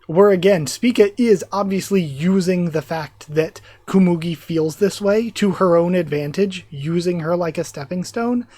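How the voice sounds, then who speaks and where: A YouTube reactor losing their voice, chatting excitedly in a live reaction while reading a manga aloud. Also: mid-range voice, normal speed, few pauses, dry recording.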